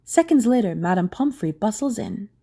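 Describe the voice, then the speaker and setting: A woman's voice: medium pitch, lively intonation, moderate pace, mellow, slightly rough. A woman reading scripted, dramatic story narration for an audio drama.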